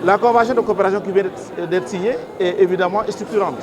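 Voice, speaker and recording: A man's voice: sits high, fairly even intonation, fast talker. A man, a technical agency official, explaining things formally and methodically to the press. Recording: busy crowd behind, public space.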